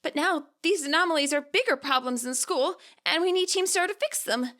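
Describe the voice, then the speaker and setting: A woman's voice: high-pitched, brisk, fairly bright tone, pitched above the performer's own voice. A woman voicing a flustered, awkward teenage girl gang leader, stammering and hesitant, in a dramatised fanfiction reading.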